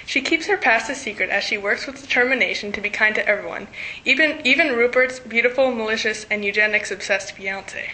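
A woman's voice: fairly high pitch, brisk, sharp tone, poor audio. A teenage girl reading a scripted book review aloud. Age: teens. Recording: faint background noise.

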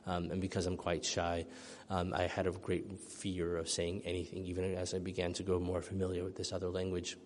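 A man's voice: deep voice, monotone, fairly quick, hardly any pauses. A middle-aged male author from the United States, thoughtfully answering audience questions after a reading, with many ums and restarts. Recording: long hall reverb.

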